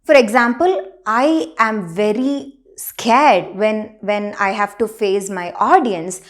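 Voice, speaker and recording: A woman's voice: medium pitch, slow pace. A woman working as a public speaking trainer, giving a video lesson in an emphatic, teacherly way and counting out her points one by one. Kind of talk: scripted video lesson to camera.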